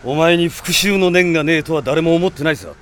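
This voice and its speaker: Man acting a gruff, blunt mercenary. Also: fairly high pitch, brisk, a little hiss or hum, natural voice. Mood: stern. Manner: rough, blunt, confrontational. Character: blunt, gruff, challenging male mercenary fighter. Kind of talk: radio drama acting.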